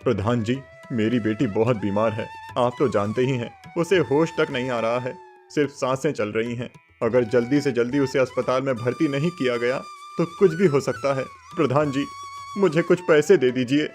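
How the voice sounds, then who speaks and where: A man's voice: medium pitch, moderate pace, darkish tone, soft background music, close to an everyday voice. Man as a desperate, pleading poor farmer. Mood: desperate, begging. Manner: earnest, pleading. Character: desperate poor farmer, a worried father, submissive. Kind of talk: Hindi moral cartoon story dubbing.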